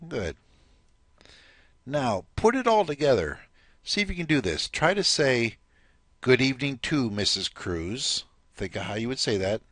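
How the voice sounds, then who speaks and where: A man's voice: medium pitch, sing-song, measured pace, fairly bright tone, dry recording. A man teaching a recorded language lesson, patient and explanatory, prompting the listener to repeat.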